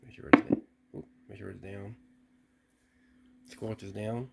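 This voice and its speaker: A man with a lowish voice walks through an old radio he bought, speaking plainly and thinking aloud. He stops and restarts mid-sentence now and then. A faint hiss sits under the indoor recording. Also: level tone, measured pace, creaky. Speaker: radio hobbyist testing gear. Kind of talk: casual unboxing walkthrough.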